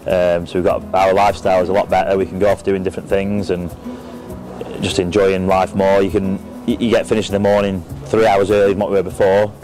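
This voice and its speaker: A man's voice: sits low, little pitch movement, brisk, rough recording. An English man in his thirties, a farmer giving an interview testimonial in a cattle shed. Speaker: dairy farmer. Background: background music.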